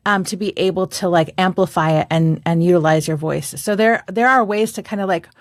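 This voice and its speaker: Middle-aged woman, voice coach, animated and laughing, dry close sound. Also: lowish voice, a little fast, no room sound. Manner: animated storyteller, frequent laughter and filler words. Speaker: podcast co-host, voice coach. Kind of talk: casual podcast chat.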